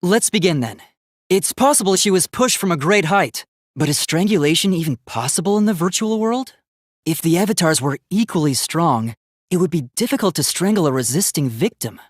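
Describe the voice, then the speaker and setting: A man's voice: a little high, lively intonation, normal speed, dry recording, clean studio sound. A man voicing an earnest, polite young robot student in a video game, with formal, precise, logical speech that gets easily flustered.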